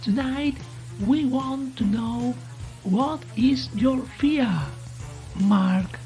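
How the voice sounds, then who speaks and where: A woman voicing an eerie, knowing radio presenter in a horror story, formal and probing, with quiet menace. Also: sits high, very slow, soft background music, voice pushed up. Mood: probing, menacing. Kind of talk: late-night radio programme presenting.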